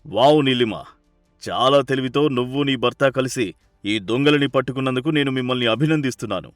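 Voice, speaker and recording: A tough, hearty police officer from a village storybook, played by a man. He speaks emphatically at a medium pitch. The recording is dry. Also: dry recording, close to an everyday voice. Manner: emphatic, congratulatory.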